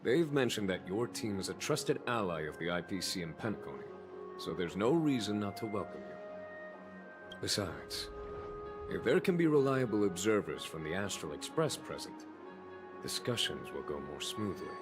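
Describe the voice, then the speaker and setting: A man voicing a composed, genial and diplomatic general in a video game, measured and courteous. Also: deep voice, very animated. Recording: music under the voice.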